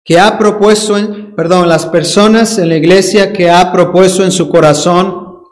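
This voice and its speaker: A man preaching a sermon with a Dominican accent, emphatic and playful, drawing out key words and mimicking people's excuses. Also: sits high. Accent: Dominican Spanish.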